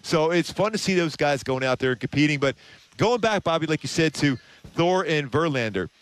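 A man's voice: on the high side, expressive, a little fast. A man in his fifties giving emphatic, conversational postgame analysis as a sports commentator. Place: open broadcast desk at a ballpark concourse. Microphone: headset.

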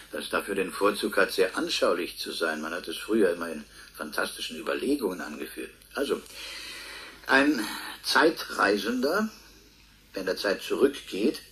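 An actor playing a scientist in a radio drama, lecturing in measured phrases with emphatic pauses. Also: male voice, sits low, bright, forceful tone, full character voice. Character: male scientist expounding time travel to an audience.

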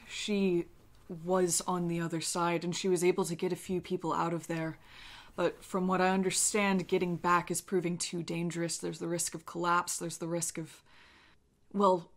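A woman game master voicing a guarded, weary man who speaks in measured, formal phrases, in a tabletop game. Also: on the low side, little pitch movement, natural voice. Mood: grave, resigned. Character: guarded, weary man, impatient refugee leader with a wife.

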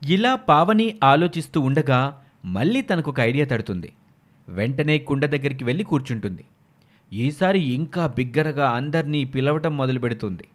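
A man's voice: mid-range voice, animated, normal speed. A man narrating a scripted moral story as a dramatic storyteller.

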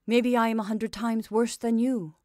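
A weary old male prisoner, read by a woman in a storybook, long-suffering and heavy with old grief. The voice sits a little higher and louder than her plain narration. The sound is close and dry. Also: little pitch movement, normal speed, no room sound, lightly acted. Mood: bitter grief. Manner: bitter, then forgiving.

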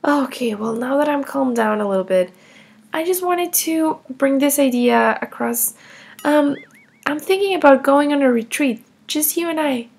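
A woman playing a frazzled pleading mom, raspy edge. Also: high-pitched, moderate pace, darkish tone. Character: flustered mother of a young girl. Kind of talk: comedy skit role-play.

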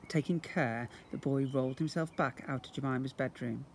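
A British man in his fifties reads a children's storybook aloud like a teacher, theatrically, with drawn-out emphasis and acted sound words. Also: medium pitch, creaky. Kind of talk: expressive children's storybook reading. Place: outdoors beside brick houses. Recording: noisy outdoor amateur video recording.

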